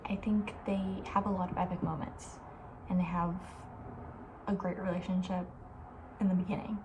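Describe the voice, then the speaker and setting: A soft-spoken woman host chatting casually in an ASMR style, often near a whisper, informal and thinking aloud. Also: fairly low pitch, flat delivery, normal speed, background noise.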